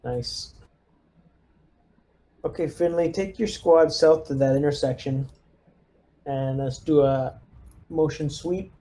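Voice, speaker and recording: A man playing a tabletop roleplaying game, casually directing his squad and thinking aloud in a deliberate way. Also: a little high, warm tone. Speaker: player leading the squad.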